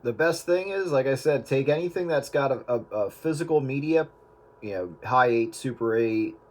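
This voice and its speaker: A man's voice: medium pitch, animated, moderate pace, noisy background. A man hosting a casual chat, explaining technical points as an audio engineer would.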